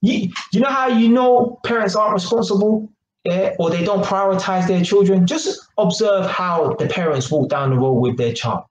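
A man's voice: high voice, moderate pace. A man, talking casually and with a lot of slang to a webcam in a small kitchen, often checking in with "yeah?". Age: 20s. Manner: animated, slangy, keeps asking "yeah?" and gesturing. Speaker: vlogger. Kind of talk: casual monologue to camera. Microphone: webcam at arm's length. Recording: echoey kitchen, faint noise.

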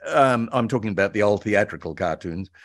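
Halting, low-voiced older man. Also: animated, no echo, studio quality. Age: around 70. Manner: halting, repeats words as he thinks. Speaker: guest expert, author and voice actor. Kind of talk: reminiscing in a conversational interview. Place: indoor room by a window, video call.

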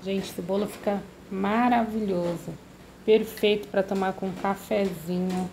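Woman talking thru a cake recipe at home, mid pitch, faint kitchen hum behind. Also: steady tone, unhurried, a little mellow, poor audio. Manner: friendly, uses many diminutives. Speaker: cooking channel host. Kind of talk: step-by-step recipe narration.

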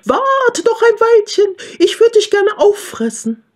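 Casting: storybook rooster, extremely high shrill crow, loud, hungry and wheedling. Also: female voice, sing-song, measured pace, heavily acted. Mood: eager, coaxing. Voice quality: shrill, crowing. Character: crowing rooster, hungry and coaxing.

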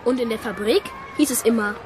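A woman's voice: fairly high pitch, fairly quick, lightly acted. A woman playing a precocious, obedient seven-year-old boy in a radio play, earnest and formally polite. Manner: formal, well-mannered, earnest. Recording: noisy scene with background crowd.

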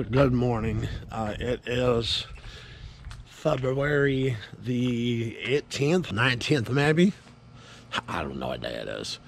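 A man's voice: medium pitch, slight background noise. A man in his thirties casually talking to camera, explaining his shop and tackle projects step by step. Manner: slow, easygoing, explaining step by step. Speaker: fishing vlogger. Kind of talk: casual vlog talk to camera. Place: outdoors by a pond and in a small wooden shop shed. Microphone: camera-mounted mic.

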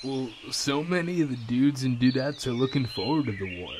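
An actor playing a laid-back, simple-hearted superhero dude with a casual slacker manner in an audio drama. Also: male voice, mid-range voice, moderate pace, background noise, close to an everyday voice. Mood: casual, puzzled.

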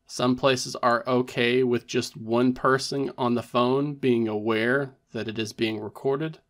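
Guy doing a step by step tech tutorial, mid pitched voice, explains stuff methodically. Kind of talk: step-by-step instructional narration.

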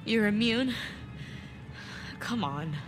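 Video game survivor: young American woman, drained and anxious, unhurried hesitant delivery, soundtrack music behind her. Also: on the high side, expressive. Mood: shocked, disbelieving. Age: young adult. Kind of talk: naturalistic cinematic game acting.

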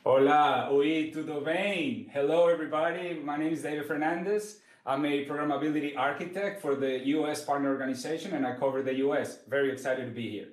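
A man in his thirties with a slightly high voice gives a friendly introduction. He is on a video call from an echoey meeting room. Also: fairly quick, hardly any pauses. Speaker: programmability architect, panel guest. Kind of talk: friendly semi-formal introduction.

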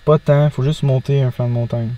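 A man's voice: mid-range voice, fairly even intonation, a little fast, dull audio. A young man with a Québécois French accent, talking casually and using slang while exploring outdoors. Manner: slangy, laid-back, matter-of-fact. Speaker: urban explorer. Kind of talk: casual outdoor vlog chat.

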